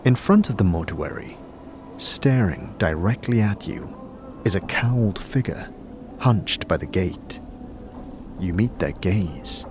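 A man's voice: low-pitched, lively intonation, takes it slowly, background noise. A man narrating a fantasy audio drama in scripted second-person storytelling.

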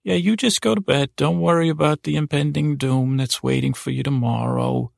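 A man's voice: mid-range voice, fairly even intonation, normal speed, vocal fry, a little mellow, no room sound, studio quality. A man voicing a nagging, passive-aggressive grandmother as an anxious inner voice, full of mock concern and foreboding, in an informal monologue.

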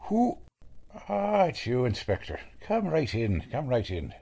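A man playing a gruff, authoritative veteran coroner with a formal, emphatic manner in a 1940s-style radio drama. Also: mid-range voice, huge pitch swings, measured pace, a crowd in the background, full character voice. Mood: welcoming.

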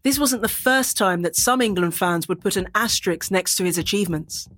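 A woman's voice: medium pitch, a little fast, a bit bright. A woman presenting a documentary in scripted narration, introducing contributors and linking their accounts.

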